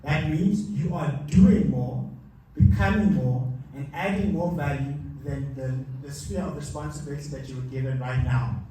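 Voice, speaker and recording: A man's voice: on the high side, normal speed, few pauses, warm tone, far from the mic, a little hiss or hum. Emphatic man in an echoey hall. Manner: emphatic, repeats key lines. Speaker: motivational speaker. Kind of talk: live masterclass talk. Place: large hall with a live audience. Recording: echoey hall mic heard from afar.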